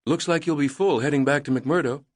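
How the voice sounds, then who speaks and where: A male narrator voicing a tired, blunt young woman scientist who calls out sharply over loud noise. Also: a little high, brisk, dry recording, clean studio sound, voice pushed up, lightly acted. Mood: wry.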